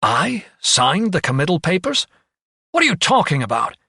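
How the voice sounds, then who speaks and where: A man's voice: mid-range voice, moderate pace, fairly bright tone, dry recording, studio quality, lightly acted. A man voicing an earnest, protective young doctor in an audiobook, urgent, easily agitated and formally polite. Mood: outraged.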